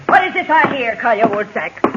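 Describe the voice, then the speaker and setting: An actress playing a stern, indignant small-town temperance crusader in an old radio drama, righteous and commanding. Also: female voice, on the high side, animated, moderate pace, dull audio, noisy background, close to an everyday voice. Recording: vintage 1950s radio recording.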